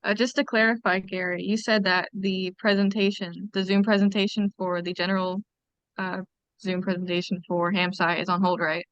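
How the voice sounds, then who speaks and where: A woman's voice: medium pitch, flat delivery, moderate pace, fairly bright tone, smooth, no echo. A woman speaking politely and casually as a participant in an online meeting over a conference call. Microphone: teleconference call. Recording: dull lo-fi conference call audio.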